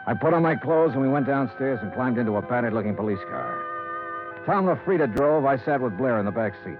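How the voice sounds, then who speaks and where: An actor playing a cool, defiant insurance investigator in a 1950s radio detective drama, terse, dry and wry. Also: male voice, mid-range voice, very animated, quick pace, over music, close to an everyday voice. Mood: deadpan narration. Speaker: detective lead and narrator. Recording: 1950s radio broadcast, thin phone-like band.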